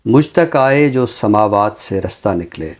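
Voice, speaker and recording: A man speaking as a commentator on a literary show, in a deliberate, reflective way with emphatic phrasing. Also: lowish voice, expressive, darkish tone. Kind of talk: reflective commentary and Urdu poetry recitation.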